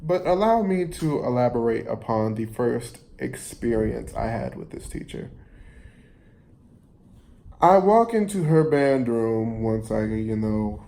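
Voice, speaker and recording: A man tells a personal story in a casual, conversational way. His voice is relaxed and mellow and his delivery is unhurried. He rambles a little, stopping to rephrase and repeat himself as he goes. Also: mid-range voice, vocal fry. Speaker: storyteller vlogger.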